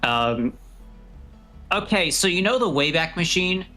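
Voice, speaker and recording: A man's voice: fairly high pitch, animated, unhurried, sharp tone, soft background music, low-quality recording. A man chatting casually as a livestream guest, with a dry, wry, rambling way of bantering.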